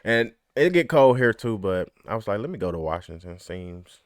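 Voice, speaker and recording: A man from Georgia, a former soldier chatting as an interview guest, rambling with many fillers and pauses. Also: fairly low pitch, very animated, dry recording, studio quality. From Georgia, USA. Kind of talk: casual interview chat.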